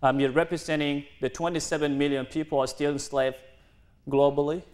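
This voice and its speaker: A man in his twenties from South Sudan, a native Dinka speaker, giving an earnest university talk in halting, non-native English with restarts. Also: a little high, flat delivery, a little mellow. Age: 20s. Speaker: guest speaker. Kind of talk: university lecture.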